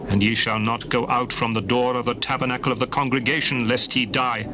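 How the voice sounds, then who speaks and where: A man playing a stern, solemn biblical prophet, speaking gravely and with command in a dramatized scripture reading. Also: mid-range voice, steady tone, a little fast, background noise, lightly acted.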